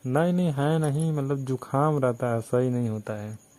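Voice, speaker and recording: A man's voice: medium pitch, normal speed, darkish tone, close to an everyday voice. A man playing a flirtatious, pleading fruit-cart seller with an eastern Uttar Pradesh accent, coaxing and rambling colloquially as in a phone romance sketch. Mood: explaining. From Barabanki, Uttar Pradesh, India. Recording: noisy phone-call recording, constant hiss.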